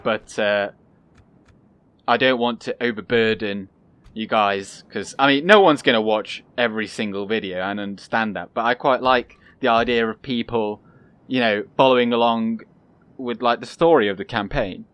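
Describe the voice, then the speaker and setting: A young man giving calm, casual commentary over his own gameplay, soft-spoken and pausing often with fillers. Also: lowish voice, clear, resonant tone, dry recording. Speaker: gaming channel host.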